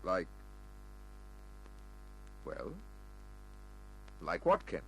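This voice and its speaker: A man's voice: low voice, huge pitch swings, extremely slow, fairly bright tone, soft background music, heavily acted. An actor playing a wary, controlled male crime boss who questions guardedly, in an old-time radio crime drama. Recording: humming, noisy old radio recording.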